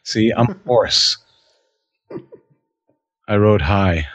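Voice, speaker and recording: A man guesting on a prank call podcast, gruff and easily annoyed, cursing casually. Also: fairly low pitch, very slow, fairly bright tone, dry recording. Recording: dry, dull lo-fi mic.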